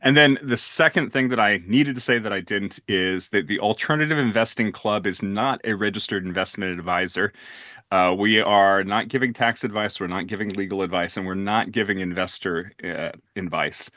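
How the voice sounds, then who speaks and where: A man's voice: fairly low pitch, moderate pace, sharp tone, no echo, dull audio. A man hosting a club meeting over a video call, explaining the rules in a hesitant way with many fillers. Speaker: club host. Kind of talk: meeting moderation.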